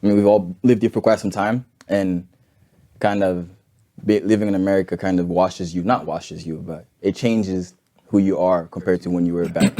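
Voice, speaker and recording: A man's voice: low voice, steady tone, normal speed, mellow. A young man in his twenties co-hosting a casual podcast, teasing his friends and steering the conversation. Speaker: podcast co-host. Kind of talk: casual chat.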